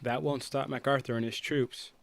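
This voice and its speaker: A practical policeman in a radio play, played by a man. He has a steady delivery and an average-pitched male voice. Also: moderate pace, vocal fry, lightly acted. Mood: level, practical. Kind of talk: radio drama acting.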